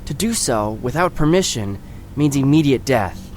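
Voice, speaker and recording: An actor playing an earnest, assured fantasy guide who explains things in a solemn, formal way in an audio drama. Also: male voice, medium pitch, expressive, normal speed, a little mellow, slight background noise, lightly acted. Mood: grave, firm.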